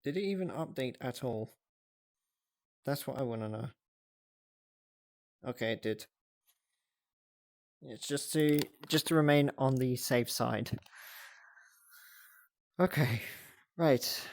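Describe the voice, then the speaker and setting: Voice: medium pitch, slow, creaky, long pauses, no room sound, clean studio sound. A livestreamer chatting casually to viewers in a giggly way, often thinking aloud. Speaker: livestreamer hosting a reaction stream.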